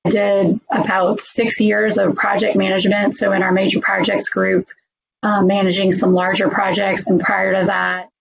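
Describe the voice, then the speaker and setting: A hoarse woman, introducing herself casually as an engineering supervisor, with many pauses and ums. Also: medium pitch, little pitch movement, lo-fi sound. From New Orleans, United States. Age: 40s. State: hoarse, losing her voice. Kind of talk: recruiting presentation self-introduction.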